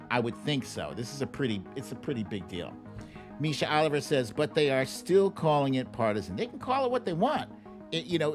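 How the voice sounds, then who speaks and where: A man hosting a live show with casual, conversational commentary, using playful emphasis and dramatic pauses. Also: on the high side. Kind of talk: casual livestream commentary, reading viewer comments.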